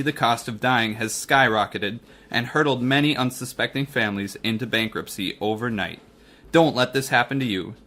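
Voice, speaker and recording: A man's voice: mid-range voice, bright, forceful tone. A man announcing and narrating a radio mystery in a scripted style.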